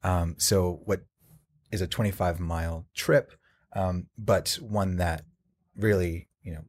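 Deep husky man from New Jersey. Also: steady tone. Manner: storytelling, halting with fillers. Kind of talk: casual group conversation.

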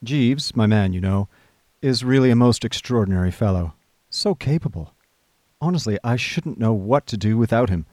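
A man playing a genial, foppish young gentleman narrating fond memories with pompous, effusive manners in a radio drama. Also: medium pitch, animated, moderate pace, no room sound, natural voice. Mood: cheerful, fond. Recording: music behind the voice.

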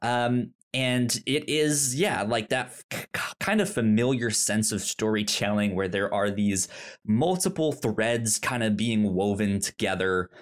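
Podcast co-host, relaxed and halting, lots of restarts, medium pitch. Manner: halting, many fillers and restarts. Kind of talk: casual chat.

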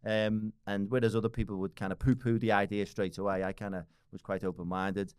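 Deep fast-talking Liverpool man. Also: little pitch movement, clean tone, no room sound, clean studio sound. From Liverpool, England. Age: 40s. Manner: rambling, many restarts and fillers, swears casually. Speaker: podcast guest. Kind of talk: casual podcast conversation.

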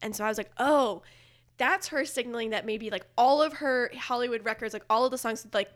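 A woman with a fairly high voice talks casually, as a guest on a chat show would. Her phrasing is loose and conversational, sprinkled with "like". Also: fairly quick. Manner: conversational, heavy use of "like". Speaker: podcast guest presenting ranked music video picks. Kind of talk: casual chat about pop music.

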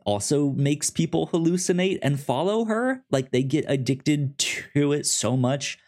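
A man co-hosting a podcast in casual chat, recapping a story in a halting way, often repeating words and syllables. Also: fairly high pitch, animated, darkish tone. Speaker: podcast co-host.

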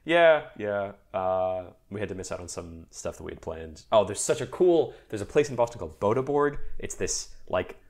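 A young man with a very deep, gravelly voice chats in a rambling way, full of fillers and restarts. He sounds lively and is getting over a mild cold. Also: normal speed, few pauses. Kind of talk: casual chat.

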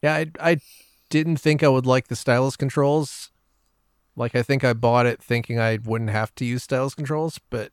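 A man with a mid-pitched voice chats casually on a gaming podcast. The sound is very dry, with no room around him, and his delivery is informal. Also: steady tone, normal speed, clear, resonant tone, no echo. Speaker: podcast guest.

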